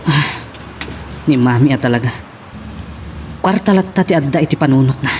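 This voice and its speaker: A woman's voice: extremely low pitch, normal speed, darkish tone, a little hiss or hum. An actress with an Ilocano accent playing an exasperated daughter pleading with her mother in a radio drama.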